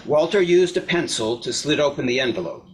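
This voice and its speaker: A man's voice: fairly high pitch, lively intonation, brisk. Man reading a story aloud over background noise. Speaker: reader in a readers theater. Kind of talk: scripted story narration read aloud. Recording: clear background noise, clicks.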